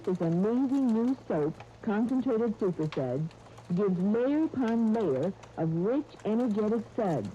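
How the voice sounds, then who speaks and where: Very mellow woman, muffled 1930s radio ad. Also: medium pitch, a little hiss or hum. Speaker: radio actress delivering sponsor message. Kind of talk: 1930s radio advertising read.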